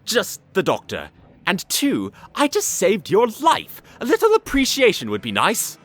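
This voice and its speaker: Actor as a cocky, manic adventurer stallion, very animated. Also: mid-range voice, unhurried, exaggerated voice. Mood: smug, scornful. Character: cocky, flippant, manic male alien-hunting adventurer stallion. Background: music.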